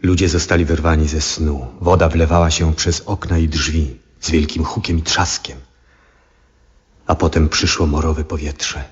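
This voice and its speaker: An old, ailing astronomer in a 1970s radio play. His voice is extremely low and husky, and he broods slowly through a lonely monologue with long pauses. The recording is dull and muffled. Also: little pitch movement, full character voice. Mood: grave recollection. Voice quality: husky, hushed. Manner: halting, reflective, with long pauses. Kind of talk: 1970s Polish radio drama acting, introspective monologue.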